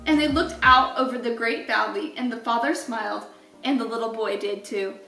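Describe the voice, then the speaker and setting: A woman reading a children's story aloud in an expressive storytelling style, with deliberate, emphatic wording. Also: fairly high pitch, creaky, echoey room, distant mic, faint music behind.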